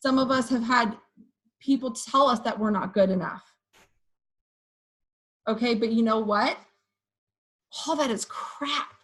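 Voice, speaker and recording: A woman with a hoarse voice giving an energetic motivational pep talk to her sales team, often checking in with "okay" and "you guys". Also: a little high, measured pace, long pauses. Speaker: direct sales team leader.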